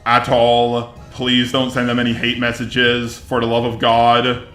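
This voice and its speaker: A man giving scripted online drama commentary in a measured, conciliatory way. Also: medium pitch, completely flat delivery, a bit bright, echoey room, soft background music. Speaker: YouTube commentator.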